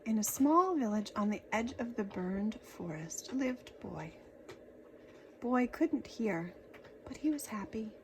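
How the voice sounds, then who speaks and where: Female children's storyteller, very slow delivery, expressive and dramatic, slight background noise. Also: fairly high pitch, low-quality recording. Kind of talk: expressive read-aloud narration for children.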